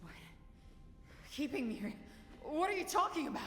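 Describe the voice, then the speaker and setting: A scared and fed-up woman in a horror game, shrill and defiant. Her voice rides high and swoops around. Music plays behind her in an echoing room with a long reverb. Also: very animated, moderate pace. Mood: exasperated. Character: frightened, angry young woman in hiding.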